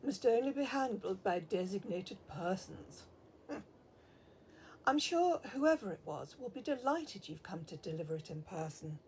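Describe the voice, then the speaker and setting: A woman plays a breezy, motherly receptionist in an audio drama. Her delivery is cosy and sing-song, swinging widely in pitch, over faint ambient noise. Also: expressive, moderate pace, darkish tone, natural voice. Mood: helpful, breezy. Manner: sing-song, cosy, calls people love. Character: chatty, breezy, motherly female office receptionist.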